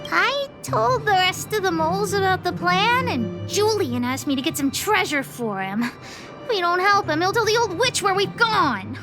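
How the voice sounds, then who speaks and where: A cheeky little girl who bosses her gang around in a video game. The voice is extremely high and childlike, swinging up and down with great animation. She sounds self-important and excitable, with music playing underneath. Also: exaggerated voice. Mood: impatient, eager, then confident, brisk. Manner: self-important, cheeky, refers to herself by name. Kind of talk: video game character voice acting. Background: background music.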